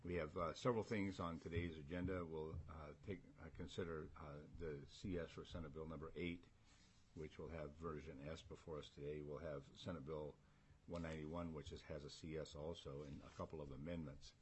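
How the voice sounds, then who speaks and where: Very low-voiced American committee chairman, halting delivery. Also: level tone. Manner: halting, folksy, courteous. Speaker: committee chair running a government hearing. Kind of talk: informal meeting chairing, unscripted. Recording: noticeable background room noise.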